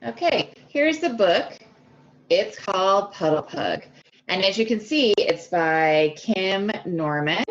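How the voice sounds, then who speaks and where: A woman reads aloud for children in an unhurried, very animated way. She stretches important words and talks directly to her young listeners, asking them questions. The recording is a little muffled and lo-fi. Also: mid-range voice. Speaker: speech-language pathologist reading to children.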